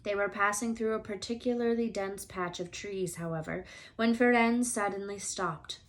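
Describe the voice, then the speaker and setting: A woman narrating a children's novel as a read-aloud storyteller, expressive and deliberate. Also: mid-range voice.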